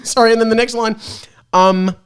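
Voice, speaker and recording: A man's voice: extremely high pitch, fairly even intonation, moderate pace, clear, resonant tone. A man reading a story aloud as a podcast guest, playful and mock-dramatic, breaking off to comment casually.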